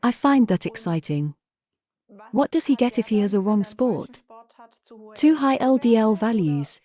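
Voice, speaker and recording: A woman's voice: fairly high pitch, very animated, unhurried, dark tone. A woman hosting an interview, talking conversationally in an engaged, inquisitive manner. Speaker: interviewer, host.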